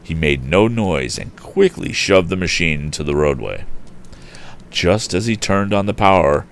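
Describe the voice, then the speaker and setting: Male storyteller, low voice, highly varied intonation, reading narrative prose aloud for a livestream. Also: lively intonation, unhurried, vocal fry, a little hiss or hum. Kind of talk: expressive audiobook-style story reading.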